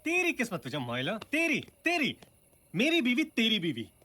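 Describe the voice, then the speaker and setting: A man's voice: very high voice, wildly swooping pitch, normal speed, sharp tone, full character voice. Stuttering, harried man, wildly swooping voice. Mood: sarcastic, exasperated. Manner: stuttering, repeating words, swinging into rants. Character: harried, sarcastic brother-in-law passed off as stammering cook. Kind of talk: broad Hindi comedy film acting.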